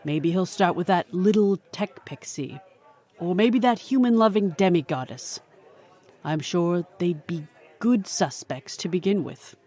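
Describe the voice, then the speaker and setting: A woman's voice: lowish voice, expressive, measured pace, warm tone. Woman playing an imperious, menacing boss. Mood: coolly threatening. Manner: formal, commanding, coolly threatening. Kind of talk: audio drama acting.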